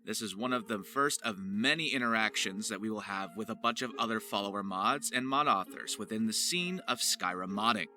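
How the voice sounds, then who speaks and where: A man's voice: lowish voice, a little fast, hardly any pauses, pushed and bright. A man hosting a scripted video as a voice actor, with playful, theatrical and grandiose phrasing.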